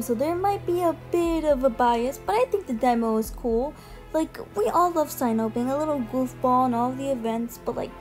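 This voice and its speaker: A young woman giving casual, gushing ranking commentary in a voice-over, slangy and quick to swear. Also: a little high, a little mellow. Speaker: video essay host. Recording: music behind the voice. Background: music.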